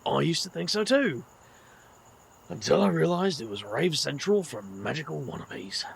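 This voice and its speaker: Gravelly, weary British magician. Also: male voice, fairly high pitch, expressive, normal speed, a crowd in the background. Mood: wry, animated. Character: weary, kindly middle-aged British magician, wry. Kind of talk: audio drama dialogue.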